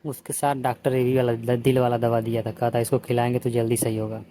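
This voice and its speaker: A man's voice: mid-range voice, little pitch movement, quick pace, dark tone, lightly acted. A man with an eastern Hindi-belt accent playing a flirtatious, coaxing younger brother-in-law in a staged casual phone call. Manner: coaxing, colloquial, persuasive. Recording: noisy phone-call style recording.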